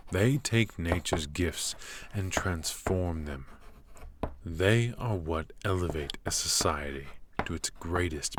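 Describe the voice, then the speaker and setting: A man playing a cold, strict royal magic professor in an ASMR roleplay, speaking in a measured, clipped, lecturing way. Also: a little hiss or hum. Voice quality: low and cool, measured.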